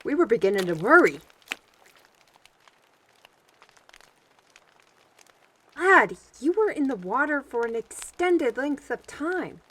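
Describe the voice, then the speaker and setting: A woman playing a cheeky, excitable fantasy adventurer in an audio drama, with teasing, wordy, folksy phrasing. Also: high-pitched, normal speed, exaggerated voice. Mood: wry.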